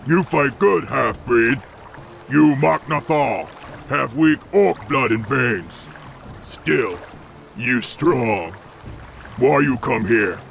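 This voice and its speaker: A man voicing a brutish, dim, proud ogre warrior in a video game, speaking in blunt broken grammar with a rough voice. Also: mid-range voice, animated, extremely slow, fairly bright tone, slight background noise, full character voice. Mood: gruff, challenging. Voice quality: gravelly, rough. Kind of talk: video game cutscene acting. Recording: music behind the voice.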